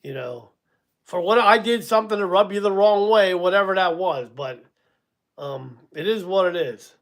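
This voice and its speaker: A man's voice: high-pitched, animated, moderate pace, a bit bright. A man in his sixties hosting a casual live stream, rambling a little, chuckling and answering viewers by name. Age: 60s. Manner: casual, rambling, chuckles at himself, many ums. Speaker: livestream host.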